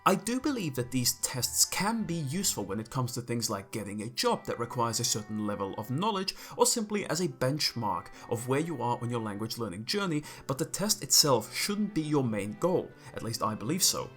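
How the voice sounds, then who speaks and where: A man's voice: mid-range voice, sing-song, moderate pace, few pauses, fairly bright tone, faint music behind. A young man from Croatia, a native Croatian speaker, giving a scripted and opinionated explanation to his viewers with dry asides. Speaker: video creator. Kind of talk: scripted talk to camera.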